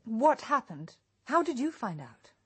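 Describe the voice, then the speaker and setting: A woman's voice: on the high side, big pitch swings, measured pace, husky, exaggerated voice. An actress playing a young English woman detective in a radio drama, earnest and intent as she questions and accuses. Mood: shocked, probing. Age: young adult. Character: young woman private detective, earnest, probing, morally shocked.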